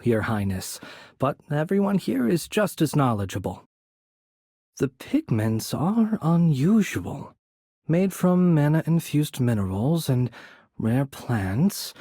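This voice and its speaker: A man playing a courteous, composed young noble mage in a video game, soft-spoken and slightly breathy, with polite, measured, formal phrasing. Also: mid-range voice, no room sound, studio quality. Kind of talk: video game character voice acting.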